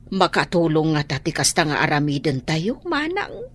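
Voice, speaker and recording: An actress with an Ilocano accent playing a practical, eager younger relative, persuasive in a radio drama. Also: female voice, low voice, huge pitch swings, normal speed, husky, full character voice. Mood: earnest.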